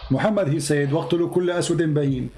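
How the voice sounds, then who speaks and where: Insistent lecturing man, higher voice. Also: racing, dark tone. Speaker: host. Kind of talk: heated call-in debate.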